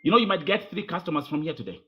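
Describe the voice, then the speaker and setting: A man's voice: fairly high pitch, sing-song, racing, muffled recording. A man from Kenya hosting a live interview, pressing his guest hard and repeating himself as he mixes Swahili with English. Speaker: host.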